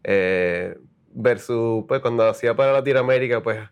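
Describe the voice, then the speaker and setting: A man from Puerto Rico with a Puerto Rican Spanish accent, a copywriter talking casually in an interview, halting and full of restarts with English words mixed in. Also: medium pitch, clear, resonant tone, highs cut off. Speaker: copywriter guest.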